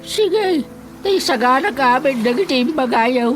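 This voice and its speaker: A village woman who seeks a healer's help in an Ilocano radio drama. Her voice is on the high side. She has an Ilocano accent, with faint music behind her. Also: expressive, unhurried. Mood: obliging.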